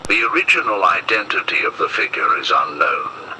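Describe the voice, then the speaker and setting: Male narrator for analog-horror warning tape: very low voice, grave, measured, lively pitch movement. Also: a little hiss or hum, low-quality recording.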